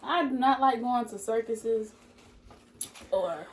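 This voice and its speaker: Young woman, higher voice, candid mealtime chat, faint room hum. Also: slow pace. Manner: candid, laughs often, talks with her mouth full. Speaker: co-host. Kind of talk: casual chat while eating.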